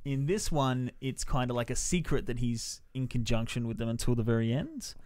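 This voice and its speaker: A man's voice: mid-range voice, expressive, normal speed, darkish tone, no room sound. Casual man chatting through a review, dry sound. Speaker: podcast film reviewer.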